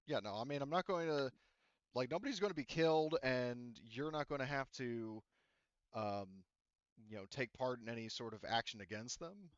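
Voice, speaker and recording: A man's voice: medium pitch, lively intonation, normal speed, smooth, dry recording, dull audio. A man running a tabletop game as game master, relaying a character's offer in a rambling way with lots of fillers. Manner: rambling, many fillers like "you know" and "like".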